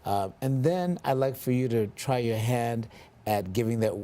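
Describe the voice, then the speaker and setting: A man hosting a local TV interview, speaking in a measured, formal way with frequent ums. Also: mid-range voice, warm tone. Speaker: TV interview host.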